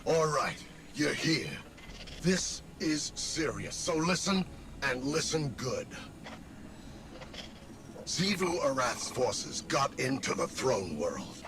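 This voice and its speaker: A man playing a gruff, exasperated alien ally in a video game, talking bluntly and urgently with heavy emphasis. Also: mid-range voice, big pitch swings, slow pace, long pauses, noisy background. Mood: urgent briefing. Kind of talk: dramatic video game acting.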